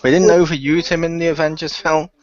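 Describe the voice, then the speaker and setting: A man's voice: fairly high pitch, little pitch movement, fast. A man in a casual group chat, joking and swearing freely. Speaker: cast member. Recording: dull lo-fi audio.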